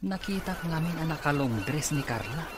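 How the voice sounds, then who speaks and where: A man's voice: a little high, lively intonation, a little fast, background noise, close to an everyday voice. A man acting a nosy, inquisitive office worker in an Ilocano radio comedy drama. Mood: curious.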